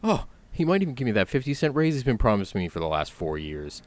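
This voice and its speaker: An actor playing a timid, put-upon pizzeria worker, naive and hesitant, in a comedy horror audio drama. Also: male voice, mid-range voice, sing-song, quick pace, darkish tone, natural voice. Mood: hopeful. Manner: hesitant, meek.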